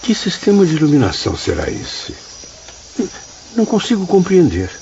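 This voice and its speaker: An actor playing a polite, curious apprentice spirit recounting memories in a radio drama, formal and literary. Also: male voice, sits high, wildly swooping pitch, normal speed, mellow, a little hiss or hum, heavily acted. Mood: puzzled. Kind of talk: radio drama acting and narration. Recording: slightly muffled radio drama with music.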